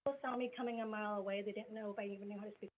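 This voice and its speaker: A woman's voice: medium pitch, fairly even intonation, very fast, slight background noise. Woman in her 40s, business coach on a zoom call, chatty and candid, tinny phone-ish line. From grew up in the US Midwest. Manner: candid, conversational storytelling. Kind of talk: webinar self-introduction. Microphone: video call.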